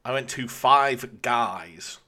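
A man's voice: mid-range voice, slow, pushed and bright, slight background noise. A man with a British accent, talking casually as a podcast co-host. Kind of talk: casual chat.

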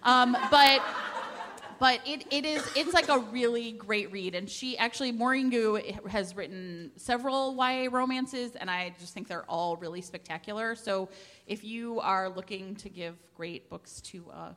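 A woman's voice: on the high side, normal speed, a bit bright. A woman chatting casually to a group, self-deprecating and peppering her talk with "like" as she recommends books. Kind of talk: casual chat before a live group. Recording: echoey hall with long reverb.

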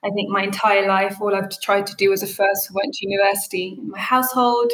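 A woman's voice: medium pitch, little pitch movement, fast, a bit bright, reverberant, rough recording. A woman from Eritrea and Ethiopia, a coffee brand founder, telling her story earnestly in an interview with frequent fillers. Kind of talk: interview answers.

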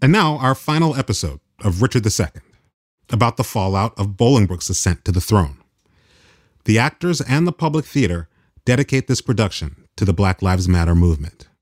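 A man's voice: lowish voice, expressive, brisk. A man hosting and introducing a radio play in a thoughtful, reflective way with emphatic phrasing. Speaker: podcast host.

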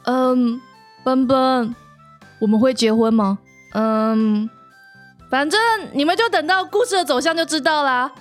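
A short, chubby elf prince without wings , voiced by a woman, speaks in a high voice. He is playful, chatty and a little self-deprecating, like a storybook character giving an interview over soft music. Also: measured pace. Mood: bashful, playful. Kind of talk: in-character interview, fairy-tale audio drama.

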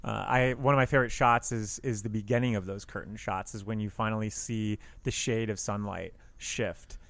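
A man's voice: fairly low pitch, steady tone, moderate pace, vocal fry, a bit bright, no echo. A man hosting a podcast, talking through films in a casual discussion style. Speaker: podcast host leading segments.

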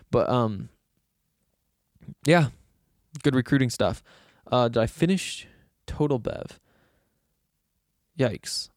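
Young male podcast host, slightly raspy, meandering casual chat, dead-dry close mic. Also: medium pitch, darkish tone, no room sound. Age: young adult. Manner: loose, self-deprecating, meandering with fillers. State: hoarse from yelling.

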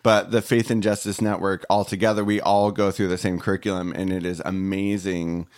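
Co-host, very low voice, calm, close dry mic. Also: brisk, dry recording. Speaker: podcast co-host. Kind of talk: casual podcast chat.

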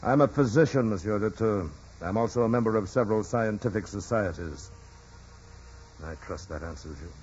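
An actor playing a dignified, precise physician with a formal clinical manner in a 1940s radio drama. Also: male voice, lowish voice, moderate pace, a little mellow, close to an everyday voice. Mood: indignant. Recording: dull, noisy vintage radio.

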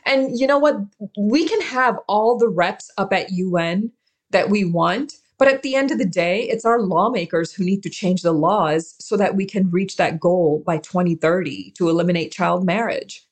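A woman from Pakistan speaking earnestly and emphatically as an interview guest, repeating key words for stress. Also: mid-range voice. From born in Karachi, Pakistan. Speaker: interview guest, forced-marriage survivor and advocate.

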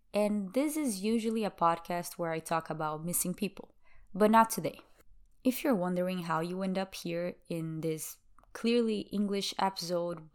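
A woman from Brazil whose first language is Portuguese hosts her podcast in English. She sounds young, and her voice sits a bit low. She talks in a chatty, easygoing way and laughs often. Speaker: podcast host. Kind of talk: casual podcast chat.

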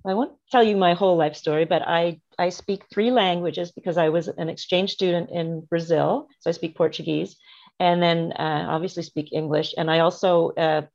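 A woman's voice: on the low side, fairly even intonation, normal speed, gravelly, a little mellow, highs cut off. A middle-aged woman, a guest talking in a friendly, rambling way and laughing often over a remote call. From Canada. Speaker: guest, marketing strategist. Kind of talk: casual interview chat. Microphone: remote video call.

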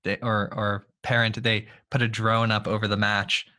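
A man's voice: on the low side, little pitch movement, moderate pace, bright, forceful tone. A young man hosting a podcast, chatty and casual, filling his talk with "like". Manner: chatty, heavy use of "like". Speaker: podcast host.

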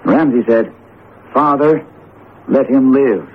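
An actor in a radio drama playing an earnest, determined teacher with deliberate, theatrical phrasing. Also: male voice, medium pitch, natural voice. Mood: uneasy, confiding. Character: jumpy, determined male teacher confronting a cursed statue. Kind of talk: vintage radio drama acting. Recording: tinny, narrowband old radio broadcast, noisy.

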